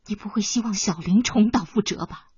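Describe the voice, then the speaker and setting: A woman's voice: medium pitch, brisk, a little mellow, breathy edge, no room sound, muffled recording. An actress playing a wealthy, ambitious middle-aged mother in a radio drama, earnest and insistent as she pleads and persuades. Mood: insistent, reproachful. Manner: earnest, insistent, pointed rhetorical questions. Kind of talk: Chinese radio drama acting.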